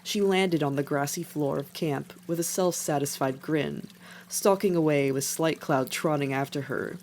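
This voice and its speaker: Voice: mid-range voice, moderate pace, a little mellow. A narrator reading scripted fiction as the storyteller of an animal tale. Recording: faint background noise.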